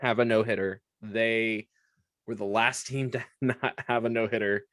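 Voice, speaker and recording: A man's voice: lowish voice, fairly even intonation, a little slow, a bit bright, no room sound, studio quality. A young man co-hosting a casual sports podcast, chatty and laughing often as he talks. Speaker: podcast co-host.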